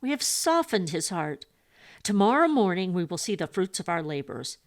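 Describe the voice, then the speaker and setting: A woman's voice: lowish voice, very animated, normal speed. A woman voicing a theatrical young daughter in a story, cheerful and giddy, tending to squeal. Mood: confident glee.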